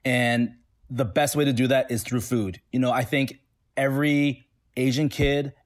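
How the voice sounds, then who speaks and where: A man from Toronto answering interview questions in a relaxed way, with many fillers and restarts. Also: mid-range voice, measured pace. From Toronto, Canada. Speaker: interview guest.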